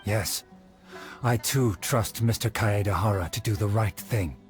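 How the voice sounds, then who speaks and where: A man voicing a dignified heir of a sword art in a video game, speaking formally and in measured tones. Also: lowish voice, over music, lightly acted.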